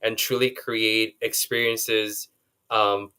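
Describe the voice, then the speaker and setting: A man moderating a panel discussion, speaking in a measured, affirming way with frequent ums. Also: lowish voice, flat delivery, bright, forceful tone, clean tone.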